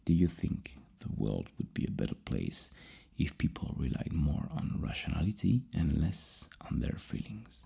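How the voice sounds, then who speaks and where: A very low, heavily creaky voice speaks softly as an ASMR therapist reading out questions. The tone is extremely dark and husky, and the delivery is halting and thoughtful, with repeated words. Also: big pitch swings. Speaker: ASMR roleplay host as psychologist. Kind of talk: soft-spoken ASMR questionnaire roleplay.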